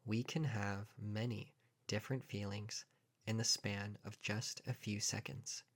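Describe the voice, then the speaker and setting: Low-pitched man guiding a calm meditation. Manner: calm, measured, with long pauses between short phrases. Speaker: meditation guide. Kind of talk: guided meditation narration.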